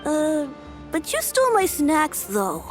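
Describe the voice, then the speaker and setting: Little kid, extremely high voice, shy, eager, earnest, anime game, faint music. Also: female voice, huge pitch swings, slow pace, full character voice. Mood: innocent. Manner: hesitant, earnest. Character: shy, eager small child asking a favor. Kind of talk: anime video game voice acting.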